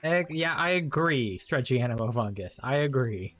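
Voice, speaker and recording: A woman's voice: a bass voice, animated, normal speed, faint music behind. A woman gaming streamer giving casual playthrough commentary.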